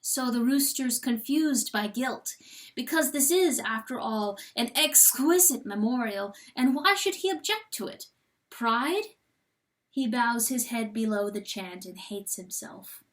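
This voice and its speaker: A woman giving a dramatic, intense and deliberate storybook narration. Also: on the high side, expressive. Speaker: audiobook narrator.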